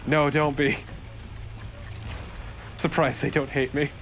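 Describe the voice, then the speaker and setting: A man's voice: a little high, flat delivery, slow, fairly bright tone. A man chatting casually as co-commentator on a let's play. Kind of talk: casual banter over game. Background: game music.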